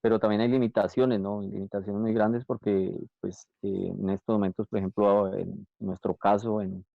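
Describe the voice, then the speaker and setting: A man's voice: on the low side, flat delivery, moderate pace, gravelly, no echo. A man from Colombia speaking as a panelist on a video call, explaining steadily with frequent fillers. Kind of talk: virtual panel talk. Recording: tinny phone-like call audio.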